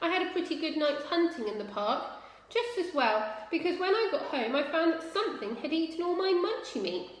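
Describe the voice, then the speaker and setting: Casting: helpful, polite male hedgehog neighbour for a storybook, played by a woman, high voice, echoing room. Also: very animated, a little fast. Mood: cheerful. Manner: courteous, helpful, chatty. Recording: very echoey room with long reverb.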